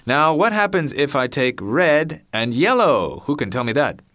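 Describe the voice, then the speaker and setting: A man voicing a schoolteacher who asks the class questions slowly and encouragingly. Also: a little high, very animated, dry recording, studio quality, pitched above the performer's own voice. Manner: loud, slow and encouraging. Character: cheerful schoolteacher quizzing a class.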